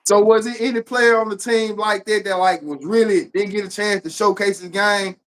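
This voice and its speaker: A man's voice: high-pitched, fairly even intonation, moderate pace, a bit bright. A man with a Memphis Southern accent hosting a casual interview chat, responsive, with frequent short affirmations. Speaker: live-stream host, interviewer.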